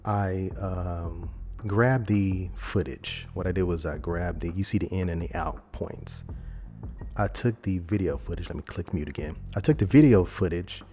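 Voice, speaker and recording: A man presenting a software tutorial in a casual, rambling, conversational way, talking informally through each step. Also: deep voice, normal speed, soft background music. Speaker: video editing tutorial host.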